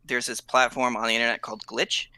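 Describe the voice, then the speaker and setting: A man's voice: medium pitch, little pitch movement, fast, sharp tone, no room sound. A man from California chatting as a guest about his project, easygoing and rambling with fillers. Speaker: guest developer. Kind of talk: casual interview chat.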